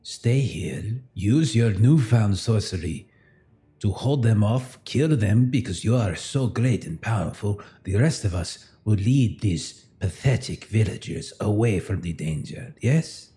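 A man at a tabletop game speaks in character, laying out a plan in a commanding, bossy way. His voice sits low with a dark tone. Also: animated, a little slow. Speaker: player.

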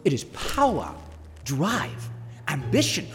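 A fawning little male steward in a medieval audio drama, swoops wildly in pitch as he wheedles. His oily, obsequious words ring in a reverberant hall, with prominent music behind. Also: wildly swooping pitch, a bit bright, full character voice. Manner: obsequious, oily, drawn-out delivery.

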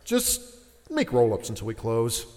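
An actor playing a condescending, bullying restaurant boss in an audio drama, mocking and domineering. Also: male voice, medium pitch, huge pitch swings, measured pace, a little mellow, big-room sound. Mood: smug, domineering.

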